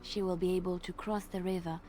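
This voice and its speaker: An actress voicing a gentle young woman bard in a video game, speaking softly and earnestly. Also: fairly low pitch, level tone, fairly quick, a little hiss or hum, natural voice. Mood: wistful. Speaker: game character telling a tale. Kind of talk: scripted video game dialogue.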